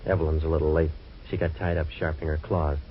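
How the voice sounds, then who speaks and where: An actor playing a hard-boiled waterfront detective in a 1940s radio drama, with dry, clipped wisecracks. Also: male voice, very deep voice, flat delivery, fast. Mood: sardonic. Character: wisecracking tough waterfront private eye, man. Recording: dull old radio broadcast with faint hiss.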